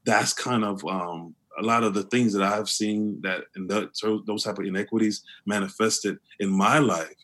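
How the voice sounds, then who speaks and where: Husky deep-voiced man, earnest. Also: steady tone, normal speed, hardly any pauses, a bit bright. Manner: earnest, with many restarts and fillers. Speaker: guest. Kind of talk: conversational interview.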